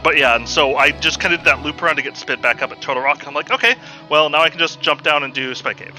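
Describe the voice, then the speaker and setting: A man with a loud voice, a racer chatting casually after a race and retelling his own thoughts with animation. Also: fairly high pitch, fast, soft background music, poor audio. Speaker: tournament racer. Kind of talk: casual post-race chat.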